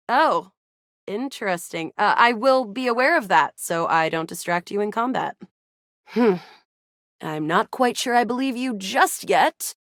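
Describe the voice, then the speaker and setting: A woman's voice: fairly high pitch, a bit bright, dry recording, studio quality. A woman voicing a cocky young female space soldier in a video game, brash, dramatic and self-assured. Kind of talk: video game character dialogue.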